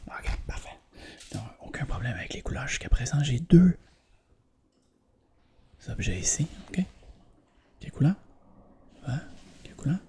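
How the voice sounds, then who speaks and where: A person whispering softly in an ASMR eye exam roleplay, gently checking in with short questions. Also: medium pitch, lively intonation, measured pace, long pauses. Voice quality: whispered, soft-spoken. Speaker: ASMR creator playing an eye examiner.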